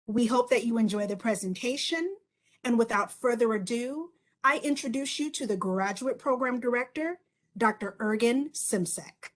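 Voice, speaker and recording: A woman opens a virtual session with formal, polished remarks, clearly reading from a script. Her voice sits fairly high, and she delivers it at an even, businesslike pace over a video call. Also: normal speed. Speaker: assistant director, session host.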